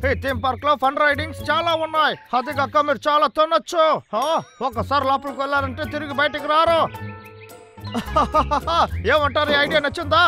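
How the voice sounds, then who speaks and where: Scheming cartoon logger: high, bright, wheedling salesman patter, hot-tempered, over a music bed. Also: a little fast, heavily acted. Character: scheming, fawning male logger villain with explosive temper. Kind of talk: exaggerated cartoon dub acting. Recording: music behind the voice.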